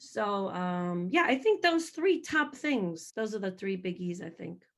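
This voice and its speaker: A woman singer answering interview questions about her faith, telling her story in a lively, hyper way. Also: medium pitch, measured pace. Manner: animated storytelling, self-described hyper.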